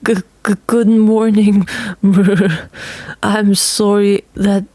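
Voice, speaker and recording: A woman's voice: mid-range voice, flat delivery, extremely slow, darkish tone, no room sound. A woman voicing a shy, polite young boy who is freezing, stammering and apologetic, in an audio story. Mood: shivering, apologetic. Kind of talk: acted audio-play storytelling.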